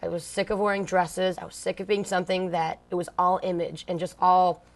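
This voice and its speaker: A woman's voice: fairly low pitch, flat delivery, brisk. Loose, chatty young woman from Long Beach. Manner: blunt, slangy, swears casually. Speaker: punk band singer, interview guest. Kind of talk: casual storytelling interview.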